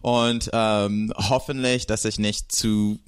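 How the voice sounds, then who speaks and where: A man's voice: on the low side, little pitch movement, takes it slowly, smooth, no room sound, studio quality. A man, an English speaker, casually telling his own story in conversation. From New York, United States. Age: 30s. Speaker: guest, former lawyer turned comedian.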